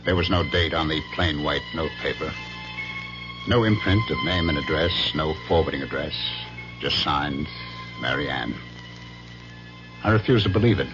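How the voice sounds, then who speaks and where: Jealous brooding husband narrating old radio drama, low voice, dramatic pauses, muffled sound w music. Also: male voice, wildly swooping pitch, heavily acted. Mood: brooding, tense. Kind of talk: 1970s radio drama acting, first-person narration.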